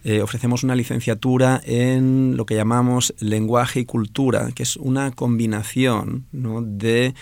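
A man's voice: mid-range voice, little pitch movement, unhurried, creaky, hardly any pauses, a little mellow, dry recording. A man in his forties from Alicante with a Spanish accent from Spain, a Valencian native speaker answering interview questions as a professor, thoughtful and full of hesitations. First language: Valencian/Catalan.

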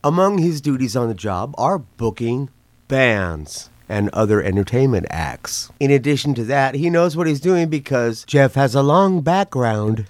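A man's voice: mid-range voice, sing-song, a little slow, no echo. A man hosting a radio show in a folksy, theatrical showman's manner.

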